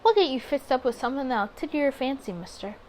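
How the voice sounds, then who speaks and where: A woman voicing a suave, flirtatious man at a 1920s bar in a visual novel, with a teasing, smooth manner. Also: high voice, expressive, fast talker, darkish tone, slight background noise.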